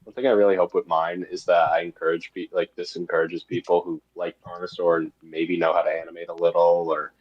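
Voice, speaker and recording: A man with a fairly low voice talks as an interview guest in a casual, enthusiastic way. He is self-deprecating about his own work. The sound is a little dull and lo-fi, like an online call. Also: level tone, fairly quick, hardly any pauses. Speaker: guest, creator of an analog horror web series.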